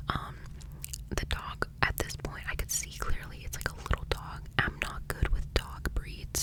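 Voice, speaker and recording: A person telling a story in a fully unvoiced ASMR whisper, rambling with many "like"s and tripping over words. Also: low-quality recording. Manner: rambling, heavy use of "like"; gets tongue-tied, restarts often. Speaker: ASMR creator.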